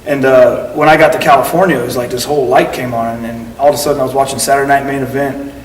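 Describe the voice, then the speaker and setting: A man's voice: medium pitch, steady tone, quick pace, recorded from a distance. A man being interviewed as a guest, telling long stories in a casual, rambling way with lots of fillers. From United States. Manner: rambling, full of fillers and acted-out quotes. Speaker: guest wrestler. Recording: echoey room heard from afar with faint noise.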